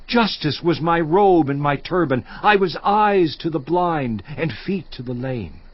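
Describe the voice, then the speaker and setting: A grieving, once-honored patriarch of an audio Bible drama, anguished and declamatory. His fairly high male voice moves slowly and swings widely in pitch, with a roomy echo around it. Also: animated, muffled recording. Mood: proud, wistful remembrance. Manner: theatrical, declamatory, with long dramatic pauses. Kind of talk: dramatized scripture monologue.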